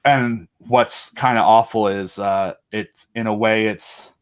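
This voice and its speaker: A man's voice: fairly low pitch, fairly even intonation, takes it slowly, smooth. A man talking casually as a podcast guest, earnest and rambling as he thinks aloud. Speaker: podcast guest, independent audio drama creator. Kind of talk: casual chat.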